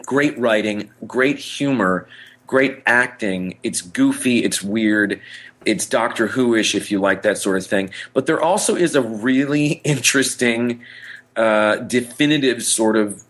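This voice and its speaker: Male podcast co-host, mid-pitched voice, enthusiastic and rambling, casual fan chat. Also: a little slow, a bit bright.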